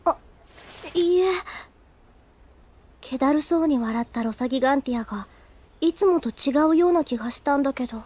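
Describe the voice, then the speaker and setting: A woman's voice: high voice, animated, moderate pace, long pauses, darkish tone. An actress playing a naive, earnest teenage schoolgirl in an anime audio drama, hesitant and easily flustered. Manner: hesitant, innocent.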